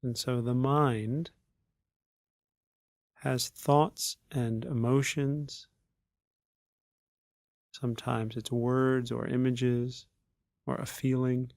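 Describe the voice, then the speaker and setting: Male meditation guide. Very slow delivery, gravelly fry, long pauses between measured phrases. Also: medium pitch, level tone, darkish tone, dry recording, studio quality. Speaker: meditation teacher.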